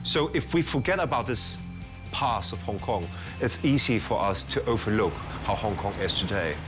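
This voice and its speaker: Low-voiced man explaining history. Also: normal speed, over music. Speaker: commentator on history. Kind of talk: documentary interview.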